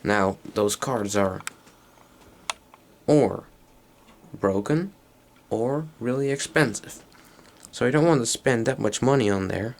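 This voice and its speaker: A man's voice: medium pitch, slow, pauses often, a little hiss or hum. A man giving a casual, unscripted hands-on demonstration as an electronics hobbyist, hesitant and thinking aloud as he goes. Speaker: electronics hobbyist presenting a tape recorder.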